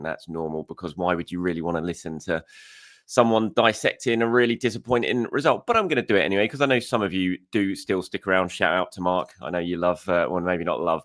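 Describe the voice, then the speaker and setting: A fast-talking man with a low, croaky voice gives frank solo commentary on a football result. He speaks in a chatty, conversational way and doubles up words for emphasis. The sound is close and dry. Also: fairly bright tone, no echo. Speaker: football show host.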